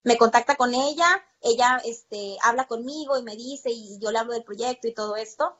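Female podcast guest, Mexican accent, fairly high voice, emphatic conversational storyteller, slightly muffled sound. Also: moderate pace. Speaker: interview guest, percussion teacher.

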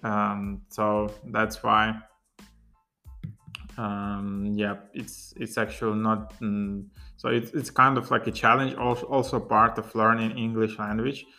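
A young man with a Ukrainian accent rambles through tech news for his podcast, full of fillers and restarts. His low voice holds a bright, gravelly edge and stays fairly flat in tone. Faint music sits behind him. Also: takes it slowly. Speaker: podcast host. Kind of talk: solo casual tech podcast.

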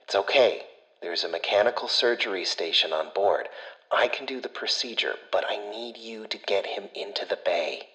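Man voicing a hushed, deep-voiced ship's computer. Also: moderate pace, poor audio. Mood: reassuring, wry. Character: anxious, caring male ship's computer, wryly familiar.

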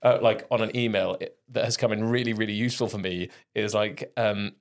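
A Welsh man whose first language is Welsh, hosting a casual podcast, upbeat and quick to laugh. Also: on the low side, fairly even intonation. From Wales. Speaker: podcast host.

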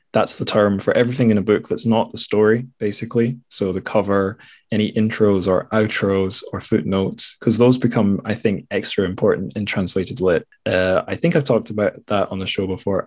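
A man hosting a podcast in a casual, conversational interview style. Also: fairly low pitch. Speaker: podcast host.

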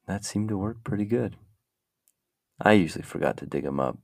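A man with a very deep, gravelly voice hosts a casual talk, rambling slowly and thinking aloud as he goes. The sound is dry and close. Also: lively intonation, a little mellow, dry recording. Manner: meandering, muses aloud while browsing. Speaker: podcast host.

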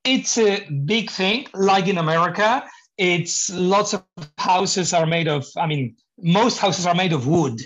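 A man's voice: high voice, a bit bright. Polish-accented male guest, non-native English, hesitant, slightly muffled sound. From Poland. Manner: halting, searches for words, laughs often. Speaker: guest, painting contractor. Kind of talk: interview conversation.

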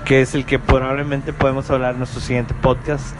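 A man's voice: little pitch movement, fairly quick, over music, rough recording. A man from Monterrey with a northern Mexican accent, hosting a casual podcast with friends. He is tipsy, jokes around and swears freely. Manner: loose and joking, swears freely, mimics friends in high squeaky voices. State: tipsy from drinking. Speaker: podcast host. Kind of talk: casual chat among friends.

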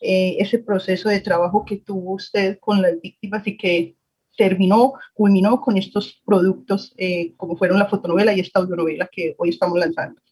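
A woman with a Colombian accent and a slightly husky voice, hosting an interview and introducing a guest. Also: medium pitch, fairly even intonation, normal speed, a little mellow, poor audio. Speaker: host, interviewer.

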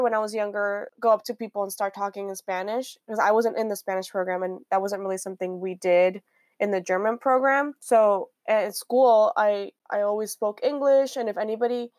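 A woman's voice: medium pitch, no room sound. Reflective young woman with a Miami accent. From Miami, Florida, USA. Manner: reflective, thinks aloud with restarts. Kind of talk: casual conversation.

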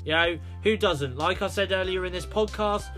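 A man's voice: high voice, normal speed, fairly bright tone, faint music behind. A British man hosting a solo podcast, rambling with many fillers and restarts. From United Kingdom. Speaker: podcast host.

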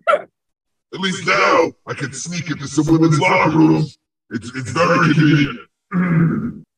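A man's voice: on the high side, slow, vocal fry, fairly bright tone, exaggerated voice. A man playing an angry, boastful ghost in a comic audio play, sputtering crude insults. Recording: very echoey, distant microphone.

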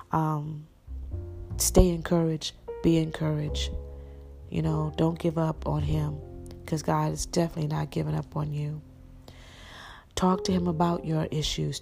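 Raspy woman, low flat voice, earnest sermon and prayer, gravelly, music underneath. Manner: earnest, repetitive preaching cadence. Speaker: podcast minister. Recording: music playing behind the voice.

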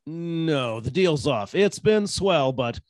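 A man plays a sardonic private eye from an old radio serial, wisecracking and easily exasperated. His fairly high voice moves up and down in lively sweeps, recorded dry. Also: takes it slowly, dry recording. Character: wry, exasperated, wisecracking male private detective boss. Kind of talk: old-time radio detective acting and narration.

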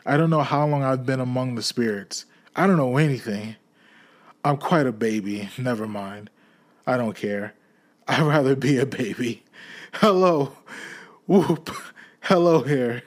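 A man voicing an overjoyed old miser who exclaims in a raised, excited voice, switching between pleading and giddy delight. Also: on the low side, moderate pace, natural voice.

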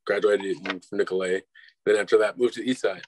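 A man's voice: on the low side, fairly even intonation, quick pace, slight background noise. A man in his twenties from Milwaukee, a dubstep producer talking easily as an interview guest, with casual slang and loose laughter. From Milwaukee, Wisconsin, United States. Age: 20s. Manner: laid-back, says "bro", laughs between phrases.

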